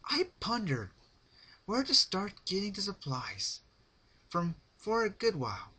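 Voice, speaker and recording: A man's voice: sits high, wildly swooping pitch, measured pace, bright, forceful tone, slightly rough, voice pushed up, full character voice. A man voicing a bubbly, childish, sadistic female cartoon pony with a sing-song, taunting manner. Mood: gleeful menace.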